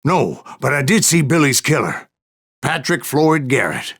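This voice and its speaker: A male actor playing a grizzled old Wild West bounty hunter in a video game, with a gravelly American voice and a folksy, wry way of telling his tales. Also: mid-range voice, very animated, measured pace, fairly bright tone, full character voice. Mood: dramatic. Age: older. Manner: folksy, wry storytelling drawl. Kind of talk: dramatic first-person game narration.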